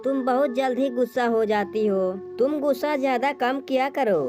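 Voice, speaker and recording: A woman voicing a sly, greedy male crow in a cartoon moral story, smooth-talking with false friendliness. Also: high-pitched, normal speed, over music, close to an everyday voice. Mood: defensive.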